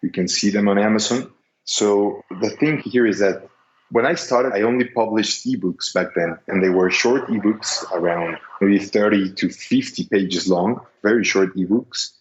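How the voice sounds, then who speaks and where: A young man from Mexico speaking English with a Mexican Spanish accent as a podcast guest, careful and halting, recorded on a dull, echoey line. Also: lowish voice, slight background noise. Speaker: guest, business seller.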